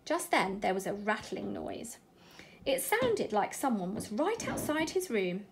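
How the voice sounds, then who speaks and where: A woman reading a children's bedtime story aloud in an expressive narrating style. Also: medium pitch, measured pace, creaky, background noise.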